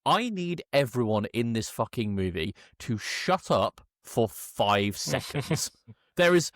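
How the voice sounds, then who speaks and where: A man's voice: medium pitch, big pitch swings, measured pace, dry recording, studio quality. A man co-hosting a casual film review chat, sardonic, exasperated and sweary. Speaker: podcast co-host.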